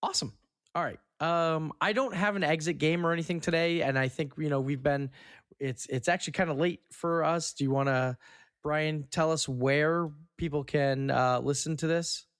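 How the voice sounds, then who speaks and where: A man's voice: fairly high pitch, fairly even intonation, moderate pace, fairly bright tone, smooth, no echo, clean studio sound. A man co-hosting a casual podcast, chatting in an informal, encouraging and joking way. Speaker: podcast co-host.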